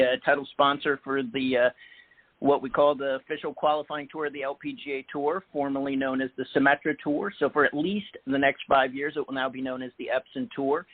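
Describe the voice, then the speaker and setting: A man being interviewed as a guest, explaining things in a measured way with frequent "uh"s and "um"s. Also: on the high side. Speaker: guest, sports executive. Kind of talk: interview answers. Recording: phone-like narrow band audio.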